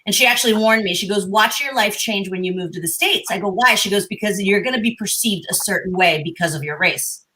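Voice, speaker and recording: A woman's voice: medium pitch, brisk, hardly any pauses, sharp tone, poor audio. A woman who grew up in Mexico, telling personal stories in a casual panel chat. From Mexico, born in the Philippines. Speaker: podcast panelist.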